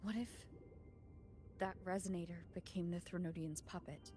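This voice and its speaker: A woman's voice: on the low side, fairly even intonation, normal speed, warm tone, lightly acted. Woman acting an earnest game adventurer over music. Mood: earnest, questioning. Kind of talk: dramatic video game voice acting.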